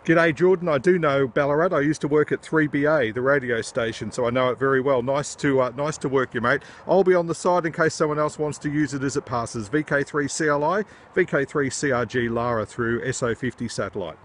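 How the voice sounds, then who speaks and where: A man's voice: mid-range voice, brisk, hardly any pauses. A man in his forties with an Australian accent, making amateur radio contacts and chatting casually. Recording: noisy handheld phone video, loud background hiss.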